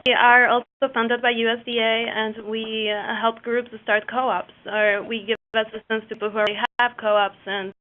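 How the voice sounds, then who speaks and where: Webinar presenter: woman, Mexican accent, high-ish, hesitant, phone-quality audio. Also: little pitch movement, normal speed, few pauses. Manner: hesitant, many fillers and restarts. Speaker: co-op business developer, presenter. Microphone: webinar call line.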